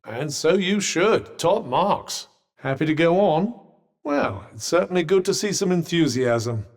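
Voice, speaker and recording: Droll posh British magical companion in long reverb. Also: male voice, fairly high pitch, expressive, a little slow. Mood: dry, condescending. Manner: posh, droll, understated, faintly condescending. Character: dry, haughty, sardonic talking magical cuff companion. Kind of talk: video game companion voice lines.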